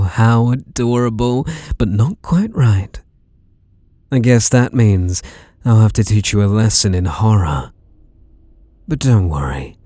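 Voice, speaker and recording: A man's voice: fairly low pitch, dark tone, dry recording, studio quality, heavily acted. A British man playing a sadistic, obsessive masked killer in an ASMR roleplay, teasing and menacing with mock tenderness and whispered words. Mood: teasing menace.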